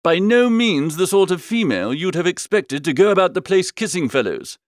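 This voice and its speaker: A man voicing an amiable, flustered upper-class young gentleman, chattily narrating a comic audiobook in old-fashioned slang. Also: high voice, big pitch swings, normal speed, dry recording, studio quality.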